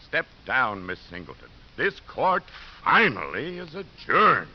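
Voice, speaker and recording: A man's voice: medium pitch, sing-song, measured pace. A man playing a stern, authoritative judge in a 1950s radio drama, brusque and formal. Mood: stern, official. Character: stern, authoritative male juvenile court judge. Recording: hissy muffled 1950s radio recording.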